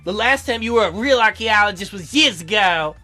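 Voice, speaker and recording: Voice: medium pitch, expressive, fairly quick, bright, forceful tone, faint music behind. A man voicing a wary, defiant young woman archaeologist, the heroine of a visual novel he is playing. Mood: annoyed. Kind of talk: visual novel character voiced by a let's player.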